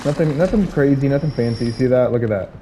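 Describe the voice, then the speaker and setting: A man giving casual gameplay commentary, hoarse from illness, rambling with many fillers and restarts. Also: medium pitch, fast talker, background noise.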